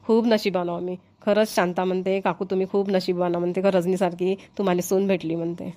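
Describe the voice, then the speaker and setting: A woman's voice: fairly low pitch, little pitch movement, fast talker, mellow, lightly acted. A woman voicing a kindly, grateful elderly village mother-in-law with a Varhadi Marathi accent in an amateur cartoon comedy. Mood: fond, grateful.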